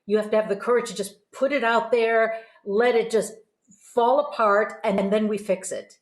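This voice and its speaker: A woman's voice: fairly high pitch, steady tone, moderate pace. A woman, middle-aged or older, teaching voice in a conversational, encouraging and explanatory way. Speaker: host and voice teacher. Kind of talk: conversational vocal coaching. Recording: roomy, echoing sound.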